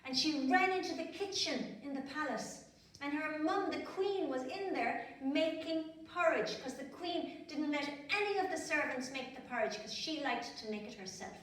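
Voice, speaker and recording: High-voiced Irish woman storyteller in an echoey room. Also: expressive, normal speed, a bit bright. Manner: simple, repetitive phrasing with questions to young listeners. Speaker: children's storyteller. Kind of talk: storytelling for small children, with asides while drawing. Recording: very echoey room, distant microphone.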